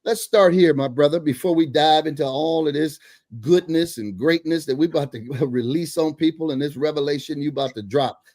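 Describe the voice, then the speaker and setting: A man's voice: a little high, brisk, darkish tone. A man hosting a church broadcast interview, emphatic and preacherly, laughing often as he talks. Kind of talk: enthusiastic interview host.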